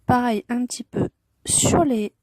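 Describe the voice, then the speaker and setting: A woman's voice: fairly high pitch, lively intonation, unhurried, mellow, slight background noise, poor audio. A woman with a head cold hosting an informal hands-on colouring tutorial, murmuring hesitant, short step-by-step remarks as she works. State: congested with a cold.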